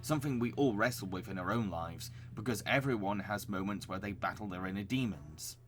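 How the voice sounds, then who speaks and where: A man's voice: on the low side, fairly quick, faint music behind. A man reading scripted narration as a reviewer presenting cartoons. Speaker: video essayist reviewing cartoons.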